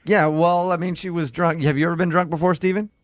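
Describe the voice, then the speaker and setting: A man's voice: fairly high pitch, little pitch movement, fast talker, no echo. A man hosting a radio show with casual, joking banter.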